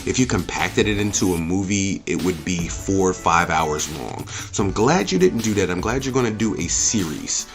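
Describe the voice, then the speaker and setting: A man's voice: deep voice, animated, normal speed, hardly any pauses, faint music behind. A man hosting a review channel, speaking off the cuff in a blunt, emphatic, opinionated rant full of rhetorical questions and swearing. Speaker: host of a movie and game review channel.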